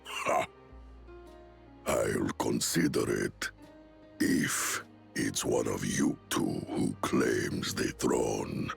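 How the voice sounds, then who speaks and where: An actor playing a proud giant chieftain in a video game, speaking in a ceremonial, stately way. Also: male voice, extremely low pitch, drawn-out words, gravelly, pauses often, soft background music, full character voice.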